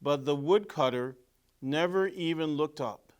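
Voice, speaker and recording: A man reading a children's story aloud as a clergyman, with deliberate, emphatic phrasing and pauses. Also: a little high, clear, resonant tone. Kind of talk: expressive storybook read-aloud with asides on pictures.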